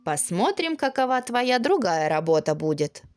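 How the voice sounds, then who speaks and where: Woman as a wry old frost grandfather, expressive. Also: on the high side, normal speed, faint music behind. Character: calm, stern but kindly old man, frost spirit.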